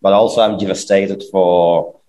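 A man from Ukraine with a Ukrainian accent, speaking as a guest in a measured, earnest way. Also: low voice, fairly even intonation, mellow. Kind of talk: casual chat.

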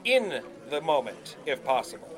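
An actor playing a cocky, grandiose young 1920s radio announcer in an audio drama, florid and emphatic. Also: male voice, on the high side, big pitch swings, normal speed, fairly bright tone. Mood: disdainful boasting. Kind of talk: period audio drama acting.

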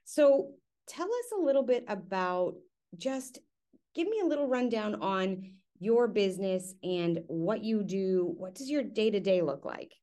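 A woman's voice: medium pitch, lively intonation, measured pace, warm tone. A woman in her thirties, from Canada, hosting a podcast in an upbeat, enthusiastic way. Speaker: podcast host. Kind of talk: podcast hosting and interview chat. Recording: light music behind.

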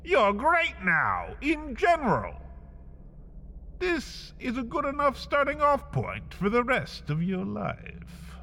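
Slow, animated male narrator. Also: long reverb tail, slight background noise. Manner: slow, wry second-person storytelling with big swings in pitch. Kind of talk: scripted comedic narration.

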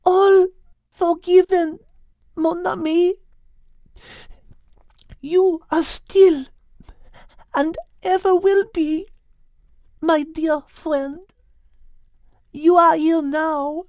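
A man voicing a gentle, dying small female animal in a storybook, speaking weakly and tenderly. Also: very high voice, fairly even intonation, drawn-out words, pauses often, dry recording, voice pushed up, heavily acted. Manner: weak, breathless, tender.

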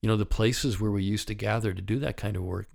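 Raspy 60ish guy hosting his own podcast, lowish voice, candid and wry, just chatting. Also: fairly even intonation, quick pace, a little mellow. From United States. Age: 60s. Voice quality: raspy from a partially paralyzed vocal cord. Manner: candid, wry and conversational, with chuckles. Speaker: podcast host. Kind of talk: reflective solo podcast monologue.

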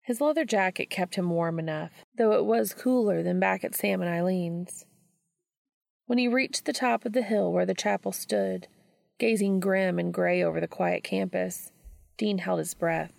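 A woman's voice: mid-range voice, moderate pace, gravelly, a little mellow, dry recording, clean studio sound. A woman reading a story aloud as scripted audiobook narration. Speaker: fan fiction audiobook reader.

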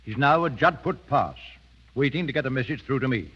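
An actor in a 1930s radio drama playing a stern, exacting colonel who speaks formally and curtly. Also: male voice, mid-range voice, expressive, a little fast, husky. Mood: brisk, formal. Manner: formal, curt, authoritative. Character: stern male colonel, commanding, curt, exacting. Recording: dull vintage 1930s radio recording.

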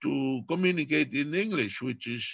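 An older man from Tonga with a Tongan accent, English not his first language, talking as a philosopher guest in a reflective, deliberate way, repeating phrases for emphasis. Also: medium pitch, creaky, fairly bright tone, lo-fi sound. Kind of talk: reflective lecture-like talk.

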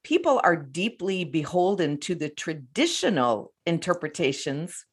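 A woman's voice: on the low side, very animated, a little slow, slightly rough. Earnest woman pastor hosting a podcast.

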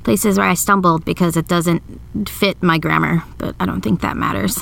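A woman's voice: fairly low pitch, steady tone, normal speed, gravelly, a bit bright. A woman voice actor chatting casually during a recording session. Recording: noisy session audio, faint background noise.

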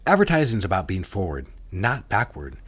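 Casting: male actor for husky, animated 1960s advertising boss, intense, persuasive, romantic audio drama lead. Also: low-pitched, moderate pace, heavily acted. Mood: businesslike, instructive. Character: married 1960s ad executive, smooth, intense, commanding boss.

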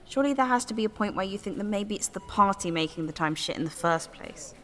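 A woman's voice: medium pitch, expressive, fast, close to an everyday voice. A woman playing an exasperated, questioning Labour voter who challenges others in a satirical radio play. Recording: distant, long reverberant hall.

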